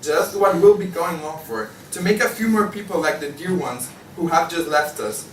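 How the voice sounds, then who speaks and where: A man's voice: a little high, normal speed, recorded from a distance, natural voice. A man playing a jaded, disillusioned journal editor in a table read of a stage play, stumbling now and then over his lines. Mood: weary, bitter. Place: indoor room, table read. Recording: echoey room from afar, faint noise.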